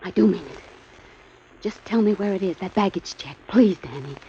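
An actress playing a scheming, coaxing young married temptress in a 1940s radio drama, on a muffled old broadcast. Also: female voice, medium pitch, lively intonation, moderate pace, dark tone, breathy edge. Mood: pleading.

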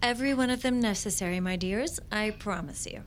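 A woman's voice: medium pitch, a little fast, close to an everyday voice. An actress playing an eccentric, brusque and superstitious woman who is an occult expert, speaking in a pompous, theatrical manner in an audio drama. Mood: dry, self-assured. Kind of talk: audio fiction drama acting.

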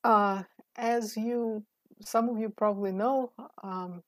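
Middle-aged woman, Russian native speaker, very slow deliberate poem reading, dry sound. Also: mid-range voice, fairly even intonation, no echo. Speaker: poet. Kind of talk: poetry reading.

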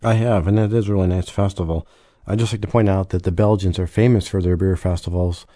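A man appearing as a guest expert on a radio travel show, answering questions in a steady voice with dry, deadpan humor. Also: low voice, fast talker, no echo.

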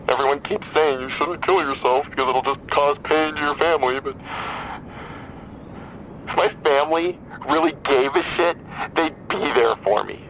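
A man playing a grieving, despairing father calling a crisis line in an audio drama, halting, weary and bitter. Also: on the high side, a bit bright, slight background noise, low-quality recording. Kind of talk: naturalistic audio drama acting.

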